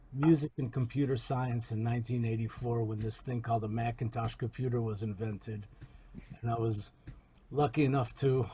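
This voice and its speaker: A record producer in his fifties telling his background from his garage studio in a measured, reflective way. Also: medium pitch, mellow, slightly rough. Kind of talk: self-introduction on a call.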